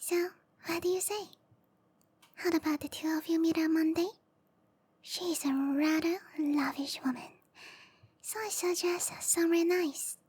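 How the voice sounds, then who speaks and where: High, whispering seductive matchmaker played by a woman. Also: steady tone, unhurried, long pauses, darkish tone. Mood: coaxing. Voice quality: breathy whisper. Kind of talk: whispered ASMR roleplay.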